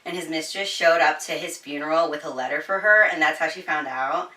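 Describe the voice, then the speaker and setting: Female host, casual book talk. Bright, forward tone, informal and giggly, recorded a bit off-mic in a roomy space. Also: sits low, fairly quick, recorded from a distance. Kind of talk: casual chatty book review. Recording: roomy echo, slightly off-mic.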